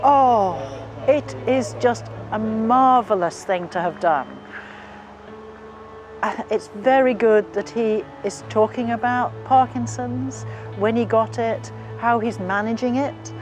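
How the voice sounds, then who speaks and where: An older woman, there to support her husband, answering interview questions in a brisk, enthusiastic way. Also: a little high, faint music behind, rough recording. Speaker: supporting spouse.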